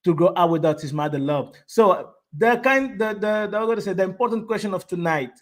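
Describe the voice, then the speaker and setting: A man hosting a live online interview, excitable and repeating himself, often stopping to restart a phrase. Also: high voice. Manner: enthusiastic, repeats words, halting restarts. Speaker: host of a live online show. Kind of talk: casual interview.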